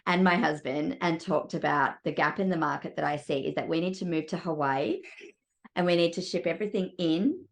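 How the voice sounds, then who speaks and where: A chatty Australian woman with a notably deep, low-pitched voice talks through her work as an interior designer. She rambles casually and easily, peppering her sentences with "you know.". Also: a little fast, creaky. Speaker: interior designer and business mentor.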